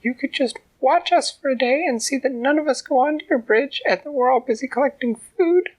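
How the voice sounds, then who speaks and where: A man doing a tiny squeaky ant girl. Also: brisk, poor audio. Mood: pleading. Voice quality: very high squeaky voice. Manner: loud, shouting to be heard. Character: tiny brave female ant, earnest and polite. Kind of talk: bedtime storytelling.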